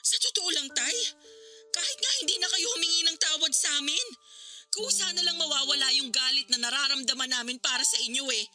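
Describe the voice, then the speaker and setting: High, piercingly bright hurt daughter. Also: female voice, fairly quick. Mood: hurt, guarded. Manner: reproachful, emotional. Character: hurt, resentful grown daughter confronting her father. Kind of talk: radio drama acting. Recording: dramatic music behind the voice. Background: music.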